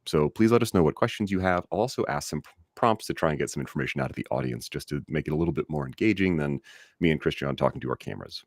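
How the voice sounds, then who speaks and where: A man with a very low, deep voice hosts a live webinar in an upbeat, chatty way, talking directly to the audience. The sound is dry and close, as if from a desk mic on a video call. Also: animated, very fast, dry recording. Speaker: host and trainer.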